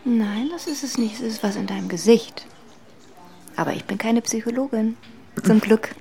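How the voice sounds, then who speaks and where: An actress playing a blunt, self-assured rocket researcher in a radio drama. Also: female voice, fairly high pitch, moderate pace, gravelly, warm tone. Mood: dry, observant.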